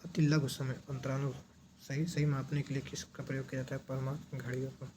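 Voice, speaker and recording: A man's voice: mid-range voice, flat delivery, brisk, background noise. A man teaching an exam revision lesson in question-and-answer form, didactic, asking questions and repeating the answers.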